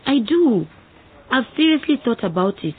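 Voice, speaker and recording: Earnest, husky young daughter. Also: female voice, a little high, sing-song, normal speed, narrow, tinny audio. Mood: earnest, determined. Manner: earnest, speech-like persuasion. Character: earnest, determined nineteen-year-old daughter with political ambitions. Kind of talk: radio drama acting.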